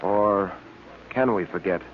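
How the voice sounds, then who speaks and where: A man's voice: fairly low pitch, slow. A confident American detective hero in a vintage radio serial. He speaks with composed assurance through a thin, tinny recording with some hiss and noise. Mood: wary, grim. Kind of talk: 1930s-40s radio serial acting.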